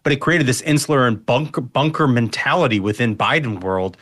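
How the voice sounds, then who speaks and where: A man's voice: medium pitch, brisk, a bit bright, no room sound, studio quality. An American man giving conversational political analysis as a podcast panelist.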